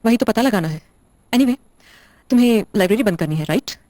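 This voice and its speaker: A woman's voice: mid-range voice, expressive, a little fast, dark tone, no room sound, close to an everyday voice. A woman playing a blunt, controlling widowed wife in an audio drama, speaking in a curt, sardonic, interrogating way. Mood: commanding, cold. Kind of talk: Hindi audio drama acting.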